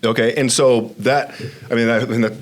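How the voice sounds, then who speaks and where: A man's voice: medium pitch, fairly even intonation, a little fast. A man hosting a conversational interview, often repeating and restarting his words. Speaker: podcast host.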